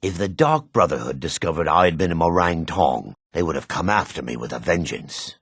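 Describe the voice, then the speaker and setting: A very low, husky male dark elf from a fantasy game. He is a haughty rogue, curt and suspicious, and his delivery swings widely in pitch. The sound is gravelly and disdainful. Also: sing-song, brisk, no echo, clean studio sound, exaggerated voice. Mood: grave, guarded. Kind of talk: video game character dialogue.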